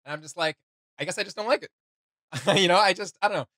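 Young man, slightly high bright voice, casual reaction host, dry indoor sound. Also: quick pace, dry recording. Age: young adult. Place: small indoor room with gaming setup.

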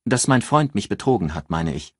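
A man's voice: fairly low pitch, very animated, moderate pace, breathy edge, dry recording, clean studio sound. A man voicing a demure but calculating female boss in an audiobook, bashful and earnest with feigned coyness.

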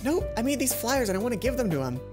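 A man voicing a cheerful, eager teenage schoolgirl with a raised, excited voice. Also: fast talker. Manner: raised pitch, excited reading. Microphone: headset. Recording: game music behind.

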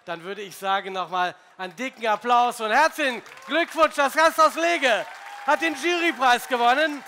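Heller, hoher Moderator mit Publikum. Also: male voice, very high-pitched, normal speed, pushed and bright, a faint crowd, slight background noise. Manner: upbeat, announcing. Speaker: gala host. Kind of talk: stage moderation. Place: large event hall stage. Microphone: handheld microphone.

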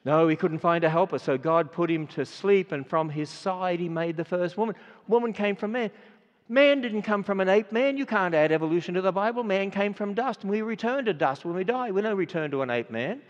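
An older man with an Australian accent preaching a sermon, stressing words and swinging between loud and quiet. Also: sits high, animated, brisk. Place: large auditorium. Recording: dull sound with long hall reverb.

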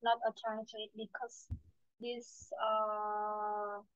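A woman's voice: medium pitch, completely flat delivery, drawn-out words, a bit bright, no room sound. A young woman with an Indonesian accent, a student answering speaking-test questions over a headset on a video call, hesitant and full of fillers and repeated words. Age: young adult. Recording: dry, phone-like call audio.

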